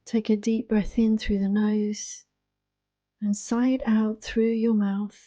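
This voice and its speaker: Very soft, mellow woman guiding meditation. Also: medium pitch, level tone, no room sound. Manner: soothing, hushed, unhurried delivery. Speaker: yoga nidra guide. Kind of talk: guided relaxation meditation.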